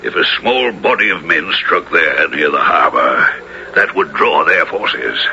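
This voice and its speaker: An actor playing a commanding, shrewd and magnanimous duke in a radio drama, with lordly, archaic, declamatory delivery. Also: male voice, a bass voice, animated, unhurried, vocal fry, sharp tone, exaggerated voice. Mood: resolute, commanding. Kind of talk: 1970s radio drama acting. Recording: noisy, muffled old radio broadcast.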